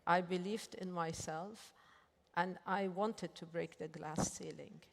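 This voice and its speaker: A woman's voice: fairly low pitch, fairly even intonation, takes it slowly, gravelly. A woman bank executive speaking earnestly on a panel, often restarting her sentences. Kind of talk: panel discussion. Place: large hotel conference hall. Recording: reverberant conference hall.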